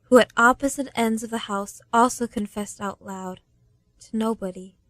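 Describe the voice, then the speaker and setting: An actress playing the knowing storyteller who reads the scripted narration of a radio play. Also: female voice, a little high, steady tone, moderate pace, fairly bright tone, dry recording, lightly acted. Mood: storytelling.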